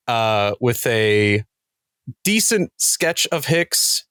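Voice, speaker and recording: A man talking casually as a podcast guest, thinking aloud in a halting, reflective way. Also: medium pitch, big pitch swings, bright, forceful tone, smooth, no room sound, clean studio sound. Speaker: podcast guest, cast member of a role-playing show.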